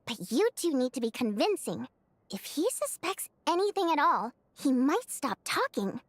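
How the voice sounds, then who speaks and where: An actress voicing an upbeat young woman who leads an underground group in a video game, speaking briskly and confidently as she lays out a plan. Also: high voice, sing-song, no room sound. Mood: scheming. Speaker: game character. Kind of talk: voiced video game dialogue.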